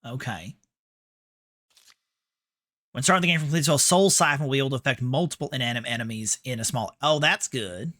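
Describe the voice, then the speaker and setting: A man's voice: mid-range voice, rapid-fire, hardly any pauses, bright, forceful tone, no room sound. A man from New York with an American accent giving casual, sarcastic, sweary gameplay commentary. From New York, United States. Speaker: game streamer.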